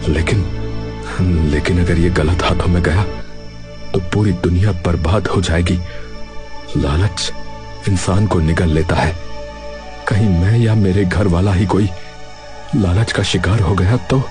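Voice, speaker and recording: Slow, deep-voiced honest poor vendor played by a man. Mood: worried, earnest. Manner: hesitant, halting delivery. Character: honest, poor, anxious male potato-snack vendor. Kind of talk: dramatic storytelling dialogue. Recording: background music throughout.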